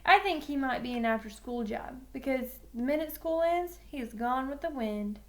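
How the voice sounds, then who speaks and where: Earnest male school staffer, played by a female reader, high-pitched, hedging, long-winded, storybook style. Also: lively intonation, normal speed. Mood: sheepish, admiring. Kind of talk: storybook character voice.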